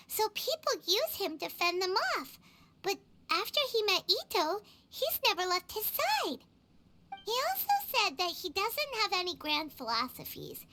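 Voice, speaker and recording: A woman's voice: very high voice, pushed and bright, exaggerated voice. A woman voicing a tiny floating female companion in a game, bubbly and eager, with a reassuring tone. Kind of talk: video game voice acting.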